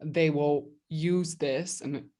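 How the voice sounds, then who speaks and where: A woman's voice: low-pitched, fairly even intonation, slow pace, dark tone. A woman hosting a podcast, speaking conversationally in a candid, self-reflective manner. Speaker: podcast host.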